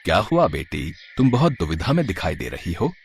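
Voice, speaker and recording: A man's voice: sits low, huge pitch swings, brisk, a little hiss or hum, full character voice. A man voicing a kindly, wise old holy man in a moral story, solemn and full of blessings. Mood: reassuring.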